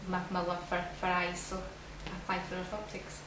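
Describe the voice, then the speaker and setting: Flat-voiced young Scottish woman. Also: on the low side, brisk, recorded from a distance. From Glasgow, Scotland. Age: young adult. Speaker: student interviewee. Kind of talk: casual interview answers. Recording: echoey room, from afar, noisy.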